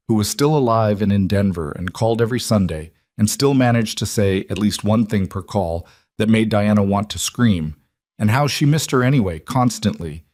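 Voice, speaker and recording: Low-pitched man narrating a story. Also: darkish tone, dry recording, studio quality.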